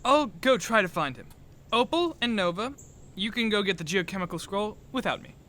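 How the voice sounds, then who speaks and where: Woman as grandiose, bright-voiced adventurer. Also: medium pitch, animated, normal speed, lightly acted. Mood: breezy, grand. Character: theatrical, self-regarding adventurer and narrator named Charles. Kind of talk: audio drama acting.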